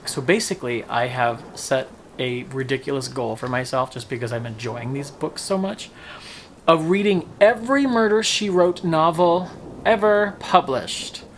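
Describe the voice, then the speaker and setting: A man's voice: mid-range voice, a bit bright, slight background noise. A man talking casually as a book-review vlogger, with a wry, playful, emphatic delivery that draws out words. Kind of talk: casual, chatty book wrap-up.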